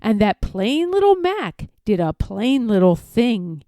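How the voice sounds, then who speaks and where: A woman narrating a rhyming children's storybook in an expressive read-aloud style. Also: mid-range voice, slow, no room sound, studio quality.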